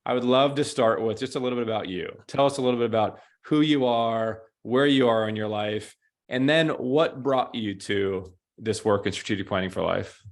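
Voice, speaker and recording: A man's voice: medium pitch, normal speed. A man hosting a podcast interview, speaking in an enthusiastic, effusive way. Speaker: podcast host. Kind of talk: casual interview conversation.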